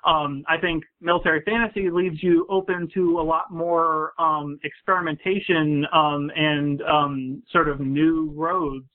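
A man speaking as a guest in a casual discussion over a call, rambling haltingly and circling back on his phrases. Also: on the high side, fairly even intonation. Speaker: guest panelist, anthology editor. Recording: tinny phone-line audio.

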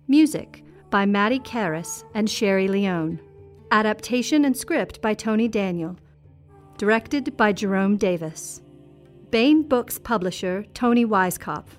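A woman's voice: mid-range voice, lively intonation, a little slow. A woman reading scripted credits as an announcer. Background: faint music.

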